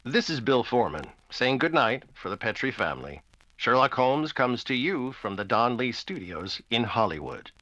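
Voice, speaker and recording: A man's voice: medium pitch, big pitch swings, moderate pace, pushed and bright, muffled recording. A man playing a chummy, hearty 1940s radio announcer with folksy, emphatic patter. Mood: formal announcing.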